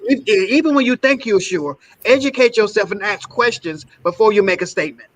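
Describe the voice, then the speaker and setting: A man's voice: high-pitched, poor audio. A man speaking as a guest on a community panel, playful and joking. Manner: playful, joking, drawn-out asides. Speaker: panel guest, council member. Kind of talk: casual panel chat.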